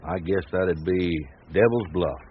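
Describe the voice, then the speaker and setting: An actor playing an easygoing, laconic ranch hand with a folksy drawl from the American West, in a radio drama. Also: male voice, deep voice, measured pace, husky. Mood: folksy storytelling. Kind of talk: naturalistic radio drama acting. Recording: tinny narrow-band radio sound, background noise.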